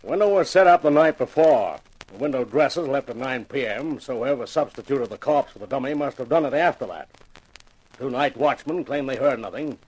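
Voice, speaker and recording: A man acting a gruff, impatient homicide inspector in a 1950s radio crime drama, questioning curtly and suspiciously. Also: mid-range voice, animated, fairly quick, a little mellow, low-quality recording. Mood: matter-of-fact.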